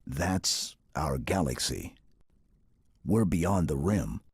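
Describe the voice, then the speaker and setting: A man voicing a stern, calm alien warrior commander in a video game, speaking in grave, terse phrases. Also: rumbling low, takes it slowly, slightly rough, no echo, studio quality, full character voice. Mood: grave, questioning. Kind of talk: video game cutscene voice acting.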